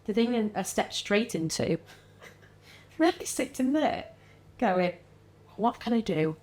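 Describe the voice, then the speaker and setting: A woman with a mild Liverpool-area English accent, a podcast guest chatting casually, acting out what other people say with lively pitch jumps and frequent laughs. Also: slow pace. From Liverpool area, England. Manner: animated, acts out quoted voices, frequent laughs. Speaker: guest, wellness business founder.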